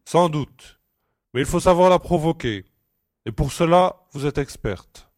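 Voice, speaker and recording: A man playing a courteous, commanding space admiral in a science fiction audio drama, speaking formally and in measured phrases. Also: on the high side, animated, a little mellow, no room sound, clean studio sound. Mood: warmly approving.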